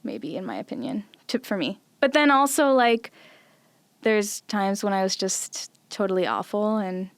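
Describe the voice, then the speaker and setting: A woman's voice: mid-range voice, unhurried, clean tone, no room sound. A woman in her late twenties, a visual artist guest on a podcast, talking casually with lots of "like". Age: 20s. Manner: chatty, heavy use of "like", animated. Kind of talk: casual interview chat.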